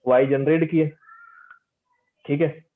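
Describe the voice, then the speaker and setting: A man's voice: on the high side, fairly even intonation, slow, a little hiss or hum. A man teaching an informal, interactive technical lecture, repeating key phrases and often checking that his students follow. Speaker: teacher giving an online lecture. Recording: tinny phone-like call audio.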